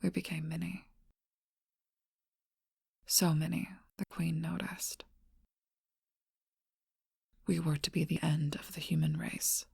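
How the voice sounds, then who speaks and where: A woman's voice: deep voice, level tone, gravelly, slightly rough, dry recording, clean studio sound, full character voice. A woman whispering as an ancient lonely vampire. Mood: mournful whisper. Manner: soft intimate whisper, slow and measured. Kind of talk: whispered ASMR role-play.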